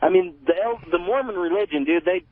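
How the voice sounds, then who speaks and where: A man's voice: high-pitched, expressive, fast talker, a loud crowd. An American man in his twenties calling in to a show, rambling casually and defensively with heavy swearing. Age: 20s. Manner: rambling, heavily profane, defensive. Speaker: caller. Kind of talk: casual call-in chat. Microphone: telephone. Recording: tinny, narrow phone line.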